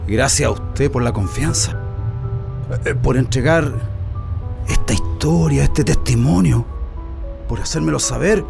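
Gravelly, gentle male listener. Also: mid-range voice, a little slow, long pauses. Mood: moved, grateful. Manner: gentle, hesitant, earnest. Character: kind, grateful listener receiving a woman's testimony. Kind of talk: radio drama acting. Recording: music behind the voice.

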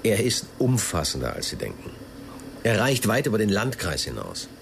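A man's voice: fairly low pitch, huge pitch swings, moderate pace, creaky, noisy background, full character voice. An actor playing a slick, evasive and defiant corporate spokesman in a radio crime drama, talking in rhetorical officialese. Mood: theatrical, provocative. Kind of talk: naturalistic German radio drama acting.

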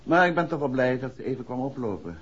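An actor playing an urbane, teasing husband and amateur detective in a 1960s Dutch radio play, jovial and musing with small hesitations. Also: male voice, mid-range voice, very animated, quick pace, husky. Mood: dry teasing. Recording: dull vintage radio recording, music behind.

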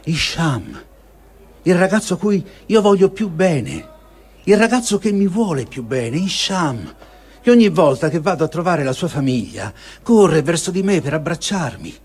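A man giving a solemn, measured dramatic reading of a first-person diary, with feeling and deliberate pauses. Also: on the high side, big pitch swings, a little mellow, husky. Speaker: reader of a testimony.

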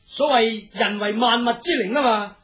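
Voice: mid-range voice, normal speed, a bit bright, lightly acted. An actor playing a cocky, playful young prince in a radio drama, with a breezy, emphatic delivery. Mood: dismissive. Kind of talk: Cantonese radio drama acting.

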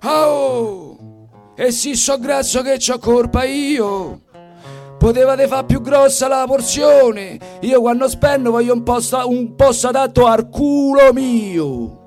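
A man's voice: very high-pitched, slow, darkish tone, faint music behind, pitched above the performer's own voice. A man voicing a fat, stubborn male theatre-goer with a Roman accent, blustering and whining indignantly in a comic stage monologue.

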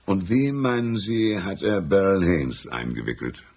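An older small-town constable for a German radio play, with a gravelly, rough-edged voice. His delivery is folksy and unhurried, swinging expressively up and down as he plays the shrewd, easygoing lawman. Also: low voice, very animated, darkish tone, full character voice. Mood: probing. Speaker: voice actor. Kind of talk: German radio drama dialogue.

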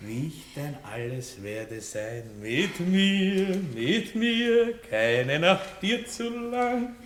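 An actor playing a coarse, self-satisfied country baron in a radio play, with a Viennese accent and pompous, leering bluster. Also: male voice, on the high side, big pitch swings, drawn-out words, exaggerated voice. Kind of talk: German-language radio play acting. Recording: roomy, distant, lightly noisy old radio recording.

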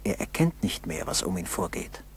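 A man narrating a scripted 1960s radio play in a grave, measured, husky voice.

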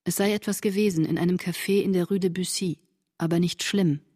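An actress playing a reflective woman reading from her wartime diary in a measured, intimate literary radio drama delivery, with a slightly husky voice. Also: lowish voice, steady tone, warm tone. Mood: matter-of-fact.

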